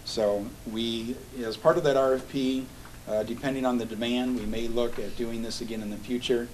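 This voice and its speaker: A man's voice: medium pitch, fairly even intonation, moderate pace, darkish tone, recorded from a distance, slight background noise, poor audio. A man who directs a housing agency, giving a methodical, businesslike briefing at a public meeting.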